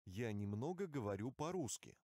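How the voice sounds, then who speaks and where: A man reading single vocabulary words and short phrases aloud for a language course, with slow, careful enunciation. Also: medium pitch, sing-song, darkish tone, no room sound, clean studio sound. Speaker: language course audio reader.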